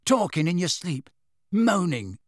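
Man as a high-pitched, sneering teenage bully. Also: sing-song, unhurried, no room sound, studio quality, full character voice. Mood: taunting. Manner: snarling, sneering, boastful. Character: big teenage boy bully, snarling, sneering, defensive.

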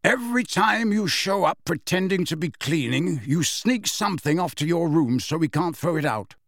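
A man's voice: fairly high pitch, expressive, moderate pace, vocal fry, no echo, clean studio sound, voice pushed up. A man voicing a proud grown man who speaks coldly and impatiently, raised a bit above his own voice. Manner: sharp, cold and impatient. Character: proud cold adult man, impatient and curt.

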